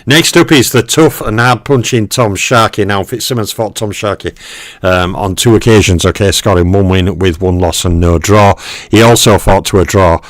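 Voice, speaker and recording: Low-voiced man talking over stat slides. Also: moderate pace, no room sound, clean studio sound. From Western Europe. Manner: filler-heavy, frequent okays and ums; greets viewers in live chat. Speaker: boxing history channel host. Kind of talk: casual narrated stat breakdown.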